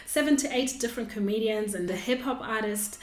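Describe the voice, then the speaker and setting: A woman hosting a podcast, talking conversationally in an earnest, reflective and expressive way, both narrating and interviewing. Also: on the high side, brisk. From Australia, lived in Perth before Melbourne. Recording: roomy, echoing sound.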